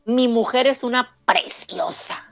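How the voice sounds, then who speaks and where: A woman's voice: a little high, slow, creaky. Playful woman hosting radio. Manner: warm, playful, reads listener messages aloud. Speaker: radio show host. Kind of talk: casual radio chat.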